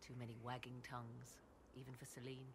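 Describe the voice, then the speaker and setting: An actress playing a proud, enigmatic sorceress in a video game, speaking in theatrical, archaic and formal phrases. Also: female voice, very deep voice, monotone, a little fast, a little hiss or hum. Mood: wry, reflective. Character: proud, enigmatic apostate sorceress, arcane advisor. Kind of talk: video game dialogue acting.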